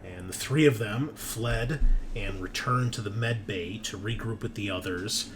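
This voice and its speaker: A man's voice: lowish voice, unhurried, a little hiss or hum. A man speaking as game master, recapping a tabletop roleplaying session in informal storytelling with dramatic emphasis. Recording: music bed under the voice. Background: background music.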